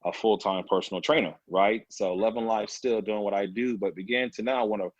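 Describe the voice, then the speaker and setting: Low-voiced man in his thirties, dry lo-fi sound. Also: fairly quick, no echo. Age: 30s. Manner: relaxed storytelling with many fillers. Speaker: guest, personal trainer. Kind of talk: casual interview talk.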